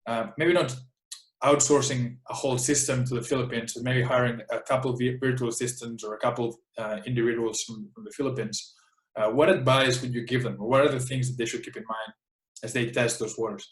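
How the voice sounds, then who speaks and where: A man with a Spanish accent hosting an interview, asking halting, stammered questions. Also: medium pitch, fairly even intonation, reverberant, low-quality recording. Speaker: podcast host, interviewer.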